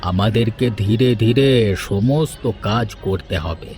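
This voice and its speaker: A man playing a sinister, greedy holy man in a cartoon fairy tale, speaking in a slow, calculating, menacing way. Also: on the low side, a little mellow, faint music behind, natural voice. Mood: coldly scheming. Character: sinister, greedy male holy man who sacrifices children.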